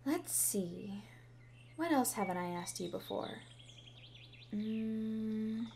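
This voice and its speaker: A woman's voice: on the high side, expressive, slow, warm tone. Woman acting as bashful young schoolgirl, sweet and chatty, hesitant, ASMR roleplay, birdsong and ambient noise behind. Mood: shy, hopeful. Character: shy, sweet, rambling young schoolgirl with a crush. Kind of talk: ASMR roleplay, scripted to the listener. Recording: clearly audible background ambience noise.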